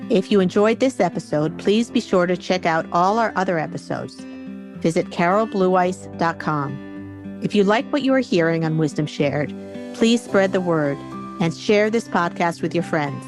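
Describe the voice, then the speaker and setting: A woman's voice: fairly low pitch, animated, normal speed. A woman hosting a podcast, giving a friendly, polite sign-off. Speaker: podcast host. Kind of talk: podcast outro. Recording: guitar music under voice.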